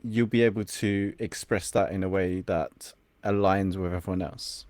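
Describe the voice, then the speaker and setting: Young guy from england, cantonese was his first language, low voice, dry close sound, thinks out loud. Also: fairly even intonation, moderate pace, no room sound. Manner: reflective, searching for words. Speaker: guest, confidence coach. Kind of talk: podcast interview.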